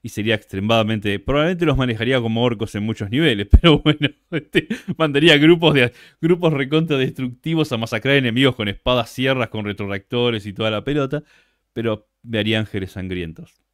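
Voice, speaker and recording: A man's voice: mid-range voice, fairly quick, fairly bright tone, dry recording, clean studio sound. A young Argentine man who is a guest on a livestream interview, chatting casually and animatedly.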